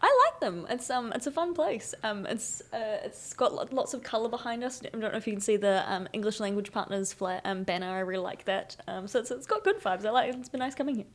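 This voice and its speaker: A woman's voice: medium pitch, lively intonation, brisk, few pauses. A woman co-hosting a podcast in an office, chatty, with frequent fillers and restarts. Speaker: podcast co-host. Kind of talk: casual podcast interview.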